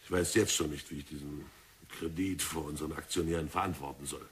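A husky, fretful bank director. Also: male voice, rumbling low, fairly even intonation, fast talker, heavily acted. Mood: stern. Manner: stern, grudging, fretful. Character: worried male bank director, middle-aged. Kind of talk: 1960s radio drama acting.

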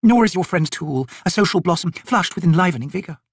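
A man's voice: high voice, animated, fast, breathy edge, dry recording, studio quality, voice pushed up, full character voice. A man voicing a haughty, teasing noble sorceress in an audiobook, with ornate, lilting, imperious phrasing. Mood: coaxing.

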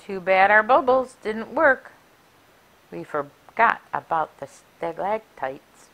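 A middle-aged woman reading a rhyming picture book aloud for children in an expressive, deliberate, sing-song way. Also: mid-range voice, highs cut off. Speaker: library story time reader. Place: small furnished room indoors. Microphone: clip-on lapel mic.

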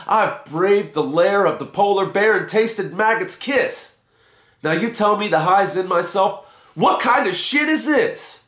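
A man's voice: high voice, animated, unhurried, a bit bright, echoey room, voice pushed up. A man voicing a reckless, dogged young thrill seeker in a recited story poem, desperate and indignant. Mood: outraged.

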